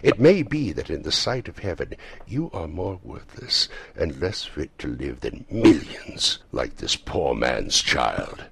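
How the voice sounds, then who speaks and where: A man acts out a solemn, reproachful ghost from a storybook. The spirit declaims slowly and emphatically, with sweeping intonation and a husky, gravelly edge to the voice. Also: a bass voice, animated, heavily acted. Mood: indignant rebuke. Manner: declamatory, slow, emphatic, indignant.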